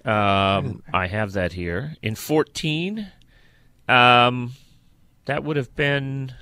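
A man co-hosting a sports podcast in casual football talk, haltingly searching for details as he goes. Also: mid-range voice, animated, pushed and bright, clean tone, no echo, studio quality.

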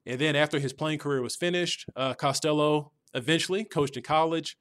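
A man's voice: a little high, brisk, a bit bright. A man introducing a podcast as its host in a conversational style.

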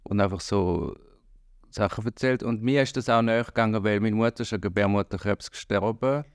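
A Swiss German man in his late forties talks as a podcast guest, slowly finding his words. His voice is low and gravelly. The sound is dry and close. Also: no echo. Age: 40s. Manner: hesitant, many fillers, reflective. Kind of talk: casual chat.

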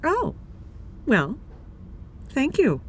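A woman's voice: high voice, wildly swooping pitch, extremely slow, slight background noise, exaggerated voice. A woman acting a polite, slightly formal newcomer from New York City in an audio drama. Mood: gracious.

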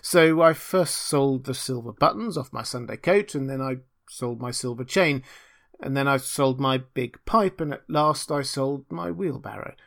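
A man's voice: mid-range voice, a little slow, vocal fry, a bit bright. A man voicing a humble, naive little gardener in a storybook, eager to please and hesitant, with small stammering starts. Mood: sheepish. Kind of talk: storybook character reading.

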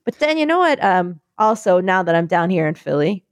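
A woman chatting casually, telling a story and acting out quotes in a higher voice. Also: a little fast, dry recording, clean studio sound. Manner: animated, acts out quotes in higher voice.

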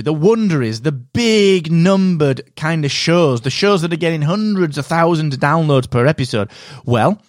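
A man hosting a solo podcast, giving direct, energetic advice while sounding slightly nasal from a cold. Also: on the high side, no echo, clean studio sound. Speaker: podcast host.

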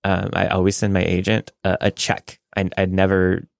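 Male podcast co-host, American, low gravelly voice, casual banter, close dry sound. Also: completely flat delivery, normal speed, dry recording.

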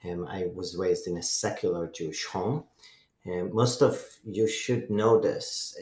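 A middle-aged man from Israel with an Israeli accent and Hebrew as his first language, telling his personal testimony as a radio guest in an earnest, measured way. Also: deep voice, echoey room. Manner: earnest, measured storytelling with many "um"s.

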